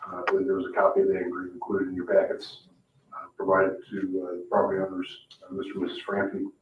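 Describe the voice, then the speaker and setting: A man presenting a staff report at a public meeting in a matter-of-fact, halting way. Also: low voice, completely flat delivery. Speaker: town staff member presenting to a board. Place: indoor municipal meeting room. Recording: muffled, echoey, noisy room, distant mic.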